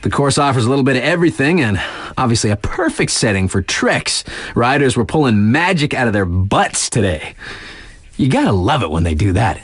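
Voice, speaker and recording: An actor playing a hyped but laid-back radio DJ in a snowboarding video game, chatting enthusiastically in casual slang about events. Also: male voice, mid-range voice, big pitch swings, a little fast, no room sound. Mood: stoked, amazed.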